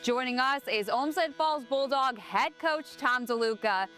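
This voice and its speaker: A woman interviewer putting scripted questions to a guest in a polite, measured way. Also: high-pitched, fairly bright tone, soft background music.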